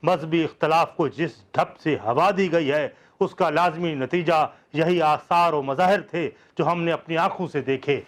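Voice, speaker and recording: A man host reciting a historic speech in an impassioned, oratorical way. He swells loud and slow on key phrases, then drops low and quiet.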